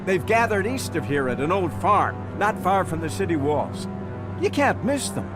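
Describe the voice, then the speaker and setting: High-voiced, fussy, anxious city official. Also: male voice, big pitch swings, normal speed, over music. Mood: exasperated. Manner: flustered, stammering when rattled. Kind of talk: video game dialogue acting.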